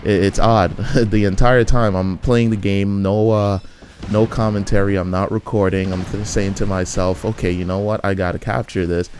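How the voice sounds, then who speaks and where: A man's voice: on the low side, fairly even intonation, normal speed, a little mellow, slight background noise. A man giving casual, rambling commentary over his own gameplay, often restarting his sentences. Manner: rambling, frequent restarts and fillers.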